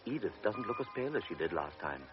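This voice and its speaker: Deep-voiced narrator for a classic radio play, lo-fi with faint music. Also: male voice, sing-song. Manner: measured, quiet narration. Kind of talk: scripted radio drama narration. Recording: dull old radio recording with music.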